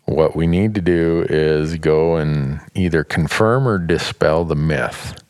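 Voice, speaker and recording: A man in his mid-fifties with an extremely deep voice chats with a friend, rambling through stories and chuckling as he goes. The sound is close and dry, with no room around it. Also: slow pace, darkish tone, no echo. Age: 50s. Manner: chatty, storytelling, chuckles often. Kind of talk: casual chat.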